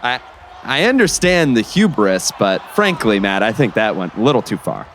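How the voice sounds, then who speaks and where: An actor playing an animated, opinionated small-town announcer giving basketball play-by-play in an audio drama. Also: male voice, on the low side, moderate pace, a little hiss or hum. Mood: analytical.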